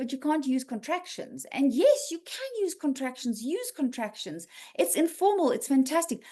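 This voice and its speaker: A woman's voice: fairly high pitch, darkish tone. A woman hosting a writing feedback session on a video call, chatting casually, reading out comments and reassuring writers with frequent "okay?" check-ins. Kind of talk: casual live chat reading and answering comments.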